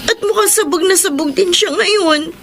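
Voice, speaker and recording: A woman's voice: very high-pitched, moderate pace, a bit bright. A woman playing a worried, distraught grown daughter in a radio drama. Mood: tearful, worried. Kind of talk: Tagalog radio drama acting.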